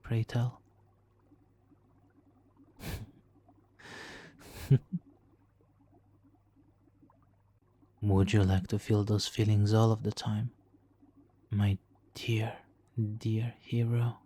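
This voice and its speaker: A man playing a smug, seductive supervillain hypnotist in an ASMR roleplay, speaking softly and theatrically with lapses into whispers. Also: lowish voice, level tone, slow pace. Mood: soothing, coaxing.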